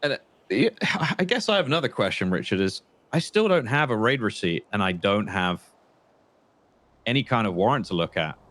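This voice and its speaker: A low-pitched male crime boss played by a man in a roleplay game, once a trooper and now bitter and sure of himself. He talks in a deliberate, halting way, repeating words, with condescending menace. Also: sing-song, fairly bright tone. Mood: probing, controlled. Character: menacing, bitter former state trooper turned confident criminal. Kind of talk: improvised crime roleplay phone conversation.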